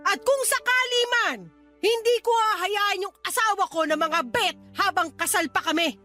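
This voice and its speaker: A woman's voice: very high-pitched, moderate pace, faint music behind. A woman with a Filipino accent playing a stern, exasperated older mother, blunt and scolding, in a radio drama. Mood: stern, reproachful. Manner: scolding, sharp, emphatic.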